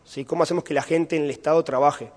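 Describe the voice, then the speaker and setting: A man's voice: fairly high pitch, steady tone, fast talker, darkish tone. Uruguayan man lecturing in a reverberant hall. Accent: Uruguayan Spanish. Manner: explanatory, frequent fillers and rhetorical checks. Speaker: conference speaker. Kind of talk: conference talk and Q&A answer. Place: conference hall.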